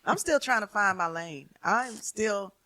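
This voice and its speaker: This is a woman with a bright, punchy voice at an average pitch. She chats casually as a comedian guest on a podcast, playful and emphatic, often repeating a phrase. The recording is close and dry. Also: animated, unhurried, dry recording. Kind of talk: casual chat.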